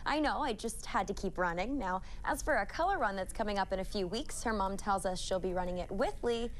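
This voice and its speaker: A woman's voice: medium pitch, fairly quick, a little hiss or hum. A woman television news reporter delivering scripted narration.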